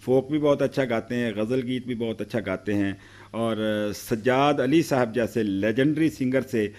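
A man's voice: mid-range voice, normal speed, darkish tone. A middle-aged man hosting a TV talk show, introducing guests with effusive praise. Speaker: host. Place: TV studio set.

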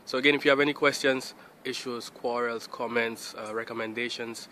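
Young male host, casual outro, bright tone, phone at arm's length outside with faint street noise. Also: medium pitch, steady tone, brisk. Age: young adult. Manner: friendly, with ums. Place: outdoors by a building. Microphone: earbud mic, phone at arm's length.

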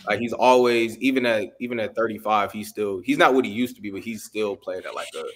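A man's voice: fairly low pitch, fairly quick. A man in casual sports talk on a video call, hesitant and full of fillers and restarts. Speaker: podcast panelist.

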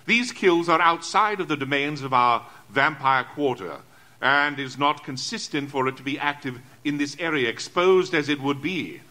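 An actor playing an eloquent, self-assured gentleman big game hunter in an audio drama, speaking in formal, measured oratory. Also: on the high side. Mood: grave, expository. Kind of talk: theatrical audio drama acting.

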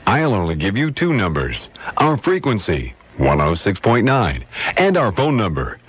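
A man's voice: lowish voice, wildly swooping pitch, normal speed, slightly rough. A man reading scripted radio station promos in a wry, sardonic manner. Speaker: station announcer. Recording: radio aircheck with faint noise.

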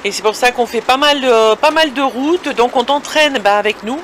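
Female vlogger, high and bright, informal and chatty, recorded in a camper cab with some road noise. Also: normal speed. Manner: chatty, addressing viewers directly. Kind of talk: casual vlog narration while driving. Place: inside a moving or parked camper van. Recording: vehicle engine and road noise.

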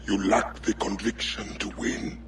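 Deep, grave assassin leader. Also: male voice, flat delivery, unhurried, a bit bright, a little hiss or hum. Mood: stern. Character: grave, resolute male assassin leader planning revenge. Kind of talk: video game drama acting.

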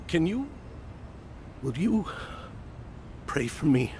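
Humble novice evangelist, eager, deferential, animated pitch, audio drama, clear street rumble behind. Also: male voice, takes it slowly, heavily acted. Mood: humble, hesitant.

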